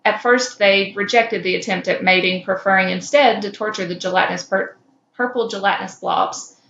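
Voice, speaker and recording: A small, cute survivor of a cursed kind read by a woman tells a grim fan fiction tale in a measured, somber way. The sound is slightly dull and echoing, with faint noise behind it. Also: mid-range voice, a bit bright, close to an everyday voice. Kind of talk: scripted first-person fan fiction reading. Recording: muffled, roomy, noisy home recording.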